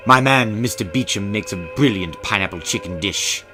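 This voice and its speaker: A man's voice: lowish voice, normal speed, pushed and bright. A man as an anxious aristocratic heir, formal, effusive, audio-story acting, music underneath. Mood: genteel, effusive. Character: affected, anxious male count, a genteel heir. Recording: music behind the voice.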